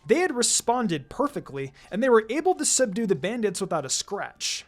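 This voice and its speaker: A narrator reading fan fiction aloud in an expressive, scripted storytelling style. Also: mid-range voice, normal speed. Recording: music behind the voice. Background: background music.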